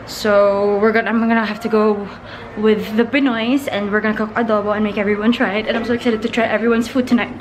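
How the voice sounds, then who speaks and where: A young woman from the Philippines with a Filipino accent, chatting casually and excitedly to her vlog audience in a gushing, giggly way. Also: medium pitch, fast talker, few pauses. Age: young adult. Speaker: vlogger. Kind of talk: casual vlog chat to camera. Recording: noisy vlog audio with loud chatter.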